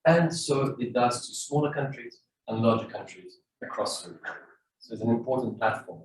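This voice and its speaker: A man on a workshop panel, explaining a process in a measured way. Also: mid-range voice. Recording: echoey room from a distance, faint noise.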